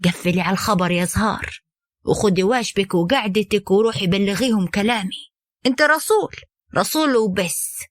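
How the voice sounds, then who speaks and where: A woman's voice: medium pitch, normal speed, no echo, clean studio sound, close to an everyday voice. A woman voicing a proud, bitter divorced young wife who speaks in a cutting, defiant way.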